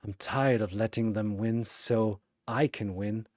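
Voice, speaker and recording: A frightened, broken young man acted by a male storyteller speaks low and hushed. The delivery is breathy, gentle and weary, as in a dramatic audio story. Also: fairly even intonation, measured pace, dry recording, studio quality, natural voice. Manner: quiet, breathy, firm.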